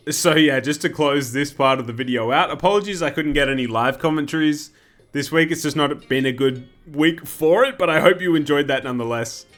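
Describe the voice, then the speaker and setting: A man's voice: medium pitch, fairly bright tone. A man hosting a gaming video who talks upbeat, laughs often and then interviews a guest. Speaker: host.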